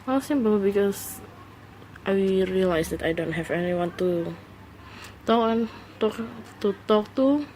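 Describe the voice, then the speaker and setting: A woman's voice: mid-range voice, expressive, slow pace, a little mellow, slight background noise, low-quality recording. A woman whose first language is not English, hosting her first solo podcast, talking hesitantly with many fillers and restarts, recorded alone on a phone. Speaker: podcast host. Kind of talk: casual solo podcast monologue. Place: alone at home.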